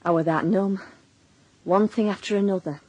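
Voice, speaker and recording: A woman's voice: mid-range voice, steady tone, moderate pace, a little mellow, natural voice, low-quality recording. A woman playing a defensive, blunt working-class Northern English wife and mother, middle-aged, in a naturalistic radio drama. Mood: weary, wry. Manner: colloquial, blunt, wry. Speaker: lead actress and narrator in a radio drama.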